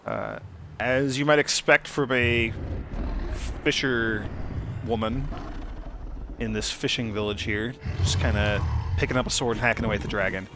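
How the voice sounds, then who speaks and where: A man's voice: medium pitch, fairly even intonation, moderate pace, pauses often, background noise. A man giving casual, understated and wry commentary as he plays through a video game. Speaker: let's play host. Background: game soundtrack.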